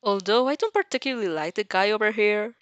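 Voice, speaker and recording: A woman's voice: medium pitch, expressive, quick pace, smooth, dry recording, dull audio, lightly acted. A woman plays a stern, ambitious teenage boy in a hero story. He speaks as a challenger, serious and defiant, in a dry recording.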